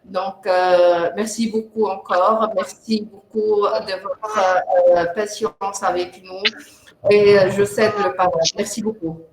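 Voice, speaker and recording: A woman moderating a live interview in a courteous, halting way. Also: mid-range voice, fairly even intonation. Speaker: interview host. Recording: echoey room with crowd noise.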